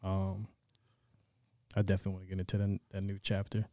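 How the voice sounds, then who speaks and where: A man co-hosting a podcast, getting excited and stumbling over his words. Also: deep voice, fast, no room sound, studio quality. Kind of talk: casual chat.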